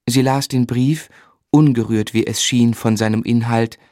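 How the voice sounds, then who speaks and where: An actor playing a melancholy gentleman who narrates his own past in a radio drama, measured, literary and reflective. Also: mid-range voice, fairly even intonation, slight background noise, natural voice. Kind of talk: scripted first-person narration in radio drama. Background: music.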